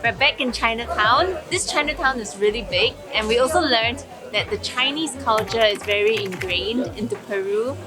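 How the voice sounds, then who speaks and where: A young Singaporean woman talks her way through a food tour, her voice sitting a little high and bright. She is chatty and eager, breaking into small laughs between bites. A music bed runs under her voice. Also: normal speed, few pauses. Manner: chatty, enthusiastic tasting remarks with frequent laughs. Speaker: travel vlogger. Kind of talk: casual food vlog commentary.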